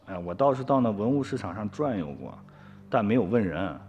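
A man's voice: lowish voice, expressive, a little fast, a little mellow, muffled recording, slight background noise, natural voice. An actor, sighing and pleading with his mother. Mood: whining. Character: poor young village man, guilty and pleading. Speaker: son. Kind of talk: radio drama acting.

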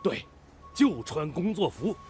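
An actor playing a proud old squad leader at a steel plant in a radio drama, spirited and encouraging. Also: male voice, a little high, big pitch swings, slow pace, a little mellow, soft background music. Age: older.

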